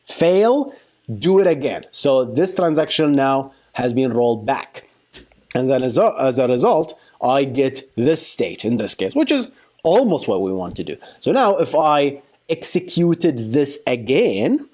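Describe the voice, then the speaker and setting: A man giving an informal software tutorial, talking through a live demo in a playful, colloquial way and thinking aloud. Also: mid-range voice. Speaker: software engineering instructor.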